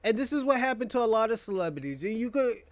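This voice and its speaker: A young man with a notably high voice holds forth in a loose, rambling monologue. He jokes crudely and swears freely. The sound is dry and close, with no room echo. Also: very animated, quick pace. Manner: profane, rambling, joking. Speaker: podcast host. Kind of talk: casual monologue.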